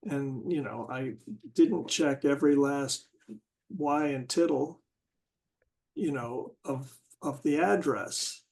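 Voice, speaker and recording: A man in a casual group discussion, talking haltingly and searching for words with many restarts. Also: medium pitch, creaky, darkish tone, poor audio.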